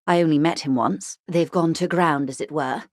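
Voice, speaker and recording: Woman playing a composed, low-voiced diplomatic negotiator. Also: no room sound, clean studio sound, lightly acted. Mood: cautionary, composed. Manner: measured, formal, polite, articulate. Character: composed, diplomatic, well-educated female corporate negotiator. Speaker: voice actor in a video game. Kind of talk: dramatic video game dialogue.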